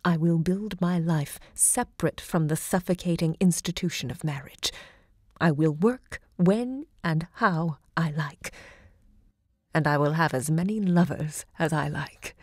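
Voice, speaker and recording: An actress playing a self-assured literary salon hostess in an audio drama, poised and savouring each word. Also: female voice, sits low, a little slow, a little mellow, no room sound, studio quality. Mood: resolute, proud.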